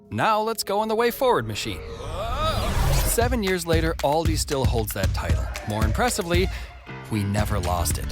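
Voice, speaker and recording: A man reading a playful scripted radio commercial as an announcer. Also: fairly high pitch. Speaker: advertising announcer. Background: music.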